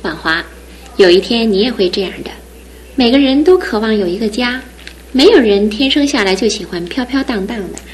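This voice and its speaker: Woman playing a calm, caring young bride. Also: fairly high pitch, brisk, close to an everyday voice. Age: young adult. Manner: warm, calm, gently firm. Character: caring new bride, steady and sensible. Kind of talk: 1970s radio drama acting.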